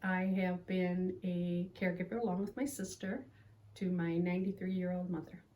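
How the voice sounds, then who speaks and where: A seventy-year-old retired woman answering interview questions in a calm, plainspoken way. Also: lowish voice, moderate pace. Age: 70s.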